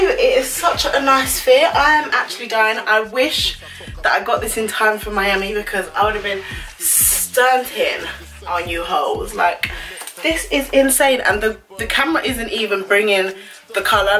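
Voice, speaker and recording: A woman's voice: on the high side, normal speed, a bit bright, distant mic, faint music behind, poor audio. A woman, chatting casually and gushing through a clothing try-on haul, with slangy asides. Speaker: fashion vlogger. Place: small bedroom indoors. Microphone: camera on a stand a few feet away.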